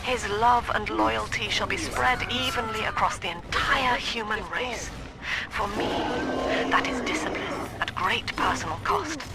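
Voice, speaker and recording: An actress playing a cold, cerebral middle-aged psychiatrist and cult leader in a video game, speaking in a formal, precise, solemn manner. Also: female voice, on the high side, a little slow, vocal fry, over loud music. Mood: clinical, resolute. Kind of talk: dramatic video game monologue.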